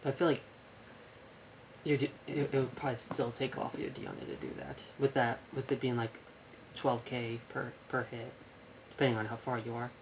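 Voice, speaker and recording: A man's voice: medium pitch, animated, normal speed, gravelly, a little hiss or hum, low-quality recording. A young man giving casual live gameplay commentary, rambling and thinking aloud. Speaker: gaming streamer. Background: game music.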